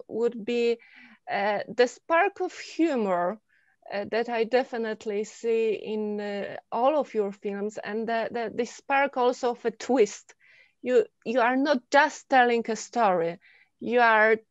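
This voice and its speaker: A woman hosting an online festival panel in a casual, somewhat halting way, over a video call. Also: on the high side. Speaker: festival Q&A host. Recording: dull, roomy video-call sound.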